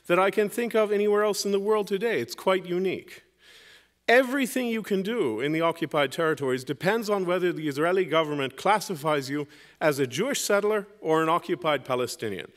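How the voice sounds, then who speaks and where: Higher-voiced man making an emphatic debate speech. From Lebanon, grew up in West Beirut. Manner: emphatic, argumentative, rhetorical questions. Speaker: debate panelist. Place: university debate hall.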